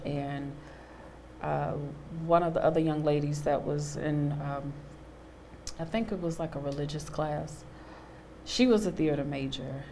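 A woman in her thirties on a studio panel, telling a personal story with animated quoted voices. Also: sits low, slight background noise. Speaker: panel guest, actor. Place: studio stage with a small audience.

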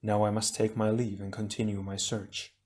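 A man's voice: lowish voice, level tone, moderate pace, darkish tone, natural voice. A man voicing a stern, reserved male ice hero who speaks formally and tersely. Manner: formal, clipped.

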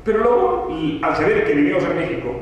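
A man in his forties, an author, telling a long story to an audience at a panel table, with lively asides and gestures. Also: fairly high pitch, fast talker. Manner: animated storytelling with wide hand gestures and asides. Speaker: author presenting his book. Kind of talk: lecture-style talk to an audience. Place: meeting room at a panel table. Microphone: table mic on a stand. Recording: roomy hall sound, mic at a distance, faint hiss.

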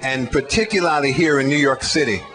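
A man in his sixties speaking deliberately and emphatically at an outdoor rally through a handheld microphone. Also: medium pitch, a bit bright. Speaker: activist speaker. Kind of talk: rally speech. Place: outdoor city street stage.

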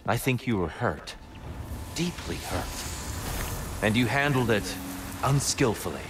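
An actor playing a wise warrior god in a video game, speaking in a measured, articulate, philosophical way. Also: male voice, mid-range voice, lively intonation, over music. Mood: calm counsel. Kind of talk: dramatic game acting.

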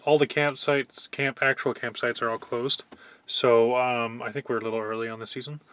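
A man's voice: medium pitch, level tone, moderate pace, a bit bright. A young man chatting casually as a vlogger inside a van. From Canada. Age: 20s to early 30s. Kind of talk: casual chat. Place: inside a small camper van, parked. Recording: background noise in a van.

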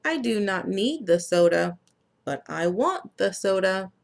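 A woman's voice: mid-range voice, animated, creaky. A woman reading as earnest little boy Joe. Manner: slow, plain picture-book reading.

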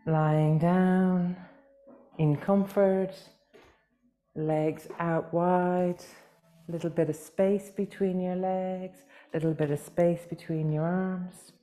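A woman leading a guided meditation as a yoga teacher, speaking gently and soothingly with long pauses, unhurried. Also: on the low side, steady tone.

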